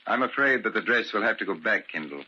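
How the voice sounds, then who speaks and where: A man's voice: fairly low pitch, expressive, quick pace, bright, forceful tone, lo-fi sound. An actor playing a cruel, domineering older father-in-law with formal, condescending politeness in a vintage radio drama. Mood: stern, commanding. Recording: crackly band-limited old radio recording.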